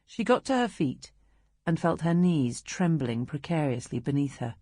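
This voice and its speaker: Woman, British accent, low pitch, dry close sound, measured expressive audiobook narration. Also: breathy edge, no room sound. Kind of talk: scripted literary narration.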